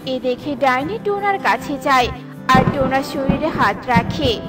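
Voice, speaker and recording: A woman's voice: sits high, expressive, measured pace. A woman narrating a scripted children's story as a storyteller, with music behind her.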